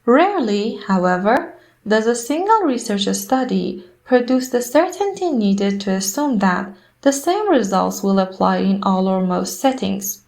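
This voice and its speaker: A woman's voice: medium pitch, big pitch swings, unhurried, hardly any pauses, a little mellow. Woman reading practice passages aloud. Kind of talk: scripted read-aloud of exam passages.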